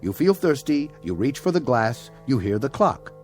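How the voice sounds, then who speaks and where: An actor playing a measured hospital doctor in a radio drama, speaking in a formal, thoughtful way. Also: male voice, medium pitch, big pitch swings, soft background music, close to an everyday voice. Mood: reassuring.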